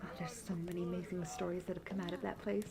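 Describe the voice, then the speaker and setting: A woman's voice: fairly low pitch, level tone, vocal fry, a crowd in the background. A young woman playing a peppy traveling bard in a fantasy audio roleplay, telling tales earnestly and fondly without hurry. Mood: fond, wistful. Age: young adult. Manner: earnest, fond, unhurried storytelling.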